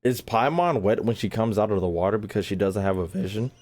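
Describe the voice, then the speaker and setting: A man's voice: fairly low pitch, fairly quick, darkish tone. A young man giving casual live commentary on a game as he streams, slangy and jokey. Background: faint game music.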